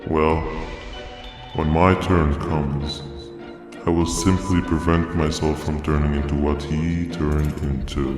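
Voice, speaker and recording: An extremely deep, even-toned giant jellyfish monster delivers grandiose, coolly menacing video game monologues. The words are deliberate and theatrical, ringing in long reverb with music behind. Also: male voice. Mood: smug, knowing.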